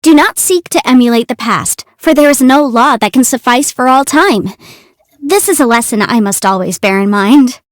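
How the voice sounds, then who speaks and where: A woman voicing a young, quick-witted half-immortal legal adviser in a video game, articulate, self-assured and playful. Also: on the high side, lively intonation, dry recording, clean studio sound, natural voice. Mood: firm, earnest. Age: young adult. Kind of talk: video game character voice lines.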